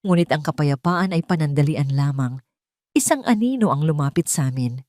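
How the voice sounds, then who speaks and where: Woman narrating a dramatic story, lowish voice, close and dry. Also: expressive, moderate pace, darkish tone, no room sound. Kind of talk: dramatic first-person scripted narration.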